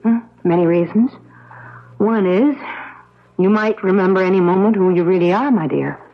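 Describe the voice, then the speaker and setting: An actress playing a compassionate, earnest woman doctor in a 1970s radio drama, speaking in a gentle, expressive manner. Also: mid-range voice, normal speed, lightly acted. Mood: concerned. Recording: dull lo-fi radio recording, crowd murmur behind.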